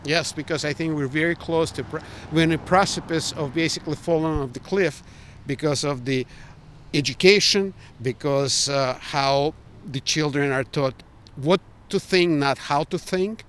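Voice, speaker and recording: Older man with a Ukrainian accent, higher-pitched voice. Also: normal speed, a bit bright, slight background noise. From Ukraine, former Soviet Union. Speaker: interviewee. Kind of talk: opinionated interview answers.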